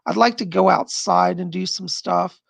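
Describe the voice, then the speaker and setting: A man's voice: high-pitched, steady tone, moderate pace, gravelly, slightly rough, no echo. A middle-aged psychic host with a strained, tired voice, chatting casually from home as he reads out viewer comments, rambling and laughing often. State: strained, losing his voice. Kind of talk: casual live-stream chat reading viewer comments.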